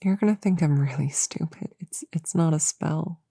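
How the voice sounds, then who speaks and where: A woman playing a flustered, earnest young novice witch in an ASMR audio roleplay, soft-spoken and halting, slipping into whispers. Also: deep voice, creaky, breathy edge, dry recording, clean studio sound, exaggerated voice. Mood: sheepish.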